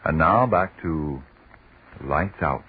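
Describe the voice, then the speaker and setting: A man playing a reassuring pitchman in a 1940s radio commercial, on a tinny old recording. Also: lively intonation, slow pace, breathy edge, exaggerated voice. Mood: reassuring, persuasive. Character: reassuring male pitchman advising a tired young woman. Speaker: radio advertisement announcer.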